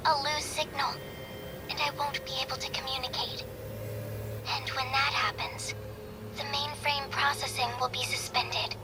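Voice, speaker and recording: A woman playing a gentle young analyst who supports pilots in a game dub, earnest and encouraging in manner. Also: sits high. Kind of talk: English game dub voice acting.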